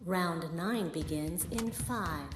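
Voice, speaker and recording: A woman playing a calm computer announcer in an animated series, measured and procedural. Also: on the low side. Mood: impassive. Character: calm female computer announcer running combat training. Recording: echoey, music underneath.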